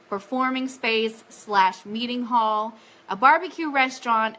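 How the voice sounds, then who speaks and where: Bright, chatty woman hosting a live stream. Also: fairly high pitch. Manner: chatty, enthusiastic. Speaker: host of an Elvis fan radio show. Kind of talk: casual live-stream fan talk with read-out news.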